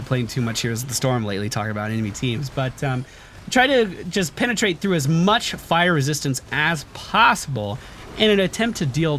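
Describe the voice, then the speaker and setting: A man's voice: mid-range voice, slight background noise. Stuffy-voiced man explaining his game build. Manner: relaxed explaining, frequent uh and um. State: stuffy, recovering from lost voice. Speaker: gaming channel host. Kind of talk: gameplay commentary over footage.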